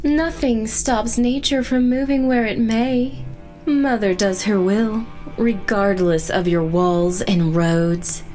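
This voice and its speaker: A woman's voice: on the high side, steady tone, slow, a little mellow, faint music behind, voice pushed up. A woman voicing a cold, merciless otherworldly queen of nature in a fantasy audio drama, proclaiming her will imperiously.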